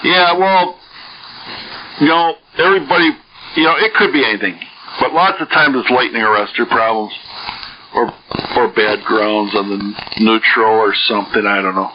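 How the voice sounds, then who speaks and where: A man's voice: lowish voice, moderate pace, lo-fi sound. A man with an American Midwestern accent chatting casually over two-way radio, rambling and thinking aloud. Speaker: amateur radio net participant. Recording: noisy band-limited shortwave radio transmission.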